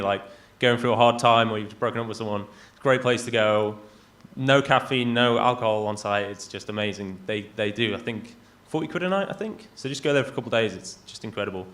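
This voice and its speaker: A man's voice: mid-range voice, fairly bright tone. A young man giving a casual travel talk to an audience, hesitant and full of ums, with self-deprecating asides. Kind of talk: casual live presentation. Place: event venue in front of an audience.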